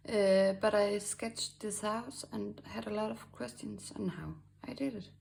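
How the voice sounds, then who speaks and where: A woman with a Danish accent talks through a drawing lesson at an unhurried pace, pausing often with fillers. Her voice has a gravelly edge, and a faint hiss sits under the recording. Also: medium pitch, steady tone. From Denmark. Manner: halting, many fillers, soft asides. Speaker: art tutorial host. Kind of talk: screen-recorded drawing tutorial.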